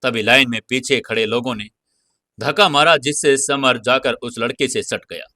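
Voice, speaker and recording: A man's voice: mid-range voice, normal speed, pushed and bright, no echo. A man narrating an audio serial in a dramatic, scripted storytelling style.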